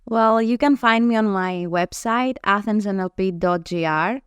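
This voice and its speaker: A woman with a Greek accent, a native Greek speaker, giving measured, explanatory answers as an interview guest. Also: mid-range voice, clear, resonant tone, dry recording. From Greece. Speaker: guest, NLP trainer. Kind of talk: reading prepared answers in an interview.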